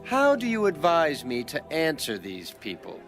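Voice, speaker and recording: A man playing a proud young king in a dramatized audio Bible, with a measured, declamatory delivery. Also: a little high, wildly swooping pitch, over music, heavily acted. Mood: questioning.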